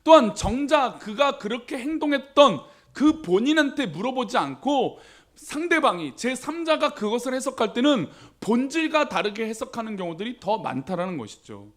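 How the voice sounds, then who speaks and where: A male pastor delivers a sermon in an easy, conversational tone, often turning to the congregation with questions. His voice sits high for a man. His pitch swings widely as he talks. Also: big pitch swings, moderate pace, fairly bright tone.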